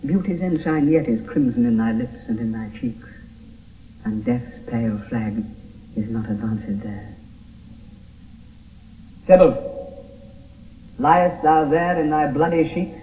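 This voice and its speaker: An actor playing a grief-stricken young lover in theatrical, impassioned radio Shakespeare verse. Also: male voice, medium pitch, lively intonation, slow, long pauses, distant mic. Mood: sorrowful wonder. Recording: muffled, echoey, hissy old radio broadcast.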